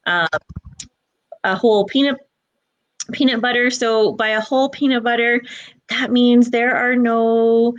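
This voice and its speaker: A chatty woman from Alberta, Canada, talks over a live video call in a somewhat high voice. She stumbles and repeats words as she gets going. Her sound is close and dry. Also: steady tone, unhurried, pauses often, no echo, lo-fi sound. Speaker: recipe creator and co-host. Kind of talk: live-streamed casual chat.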